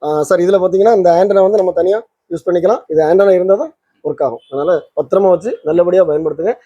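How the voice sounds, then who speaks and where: A man's voice: high voice, quick pace, mellow, slightly rough, dry recording, muffled recording. A man hosting a casual product demonstration, explaining things and mixing in English words. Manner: explanatory, mixes English words into his talk. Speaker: channel host and electronics maker demonstrating a kit.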